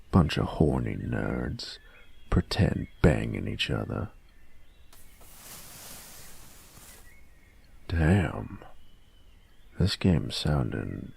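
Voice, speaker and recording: Man playing a very deep, gravelly young bully. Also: a little mellow, exaggerated voice. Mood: intrigued, teasing. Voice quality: gravelly, husky. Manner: slangy, halting, feigned indifference. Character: gruff young male bully, secretly curious about nerdy games. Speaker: voice actor in audio roleplay. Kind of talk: intimate one-sided audio roleplay, casual dialogue.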